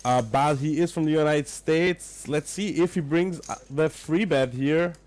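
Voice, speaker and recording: Slow-talking Austrian poker commentator. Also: male voice, fairly high pitch, darkish tone. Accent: Austrian German. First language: German. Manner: chatty, greets viewers, searches for English words. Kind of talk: live poker commentary with chat.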